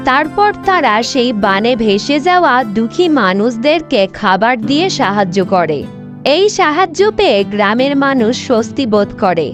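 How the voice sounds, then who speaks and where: Lively, high-voiced woman storyteller over music. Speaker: narrator. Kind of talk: scripted storybook narration for a cartoon fairy tale.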